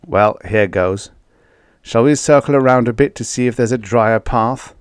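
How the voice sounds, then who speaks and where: A man voicing a steady male Mars explorer in an audiobook story, rough and urgent as he urges a partner on. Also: mid-range voice, moderate pace, no echo, clean studio sound, close to an everyday voice. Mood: doubtful.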